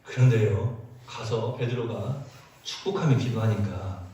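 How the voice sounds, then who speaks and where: A male pastor preaching a sermon in an animated, storytelling way, with many acted quotes. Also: fairly low pitch, measured pace, lo-fi sound, slight background noise. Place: church sanctuary. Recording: echoey church sound from a distance.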